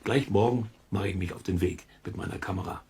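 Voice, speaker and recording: A man's voice: low voice, a little fast. An actor playing a folksy, curious man who muses and chats to listeners in a children's audio drama. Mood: musing, amused. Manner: musing, chuckling, colloquial, talks to listeners. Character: folksy, curious amateur investigator, chatty middle-aged man. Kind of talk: German children's audio drama, chatty explaining.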